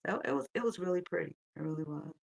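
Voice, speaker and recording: A woman in her fifties hosting an early-morning coloring video, talking softly and unhurriedly with a raspy morning voice, thinking aloud with many fillers. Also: deep voice, quick pace, no room sound. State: still waking up. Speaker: coloring channel host.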